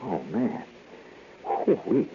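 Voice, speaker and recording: On a thin, tinny radio recording, a man playing an excitable American schoolboy plots with his pal in a radio story. The delivery is hushed, slangy and conspiratorial, in a fairly low register. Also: very slow, a little hiss or hum, exaggerated voice. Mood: awed. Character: excitable teenage schoolboy buddy, scheming and slangy. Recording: tinny band-limited old radio broadcast.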